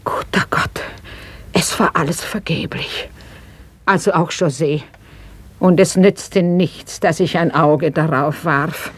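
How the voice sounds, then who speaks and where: An actress playing a proud, pious old mistress of a house in a radio play, musing theatrically and easily aggrieved. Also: female voice, deep voice, steady tone, takes it slowly, breathy edge, dry recording, exaggerated voice. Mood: pleading, dismayed. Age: older. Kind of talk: 1960s German radio play acting.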